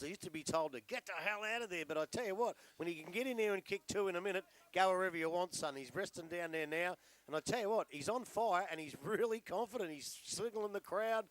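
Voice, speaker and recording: A man's voice: high voice, a bit bright. A man with an Australian accent giving chatty, blokey, colloquial expert comments during a football broadcast. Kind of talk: colloquial sports banter and analysis.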